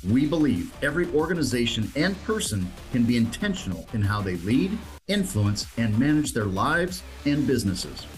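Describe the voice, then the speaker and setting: A man's voice: medium pitch, sing-song, moderate pace, few pauses. Man, podcast host, polished announcer delivery, faint music underneath. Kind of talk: scripted show intro.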